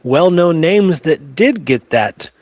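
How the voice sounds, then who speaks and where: A man's voice: fairly high pitch, animated, slow, darkish tone, dry recording. Man chatting casually, close dry sound. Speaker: podcast co-host. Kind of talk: casual chat.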